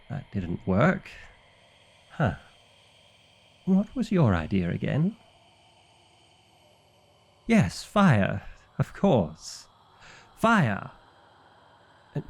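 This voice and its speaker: A man acts out an exasperated vampire librarian for an ASMR fantasy roleplay. The voice sits on the low side and moves at a very unhurried pace. He sounds officious and easily affronted, with a dry comic edge. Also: vocal fry, a little mellow, full character voice. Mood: flustered.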